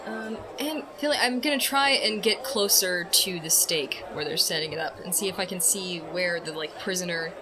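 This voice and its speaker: A woman's voice: on the low side, normal speed, few pauses, background noise. A woman talking casually as a player in a tabletop game, describing her moves.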